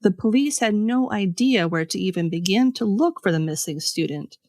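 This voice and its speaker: A woman podcast host telling a scripted story in a conversational way, with pauses for dramatic effect. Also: medium pitch, animated, darkish tone, no room sound, studio quality. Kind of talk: scripted storytelling with casual asides.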